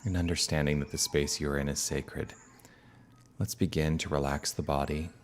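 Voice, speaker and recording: American male meditation leader, extremely low voice, soothing and unhurried, recorded with light ambient noise and chirping birds. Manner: unhurried, calm, soothing with long pauses. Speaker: minister leading guided meditation. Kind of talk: slow guided meditation.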